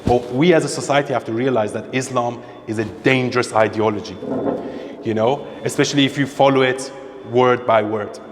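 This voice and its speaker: A man's voice: medium pitch, steady tone, fairly quick, in a large hall, noisy background. A man from Iran, interviewed on a podcast, who speaks emphatically and repeats his points for stress. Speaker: interviewee, social media creator. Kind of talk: opinionated conversation.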